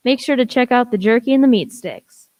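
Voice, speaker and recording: A woman's voice: fairly high pitch, fairly quick, heavy background noise, lightly acted. A young woman playing a hearty pirate meat seller in an amateur radio ad. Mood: hearty selling.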